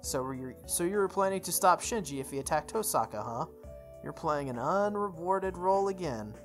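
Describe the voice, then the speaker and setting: A prim male student in a visual novel, read by a woman talks in a low, dry register. He is judgmental and pedantic, scolding as he goes. Music sits faintly behind the voice. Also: animated, moderate pace, natural voice. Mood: matter-of-fact.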